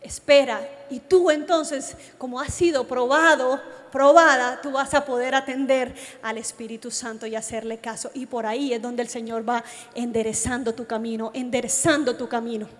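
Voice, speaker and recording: A woman's voice: a little high, steady tone, normal speed. A woman with a Colombian accent preaching to young people, telling personal stories and addressing her listeners directly. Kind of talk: youth sermon with personal testimony. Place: large reverberant meeting hall. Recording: long hall reverb from a sound system.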